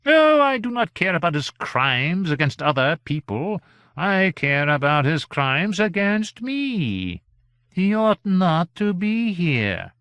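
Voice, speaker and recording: A man voicing a vain, capricious fairy gentleman in a storybook, theatrical and effusive, with gushing menace and drawn-out words. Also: high-pitched, sing-song, clear, resonant tone, no echo, pitched above the performer's own voice, heavily acted. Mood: haughty, menacing.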